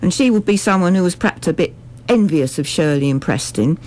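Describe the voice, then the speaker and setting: A woman's voice: fairly low pitch, lively intonation, a little fast, darkish tone. A woman giving articulate, explanatory answers as a radio interview guest. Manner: articulate, full sentences.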